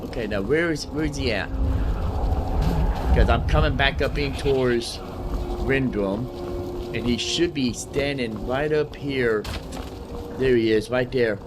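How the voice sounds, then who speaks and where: A man's voice: mid-range voice, measured pace, pauses often, heavy background noise. A man giving casual gameplay commentary as a video host, repeating his phrases and using folksy slang.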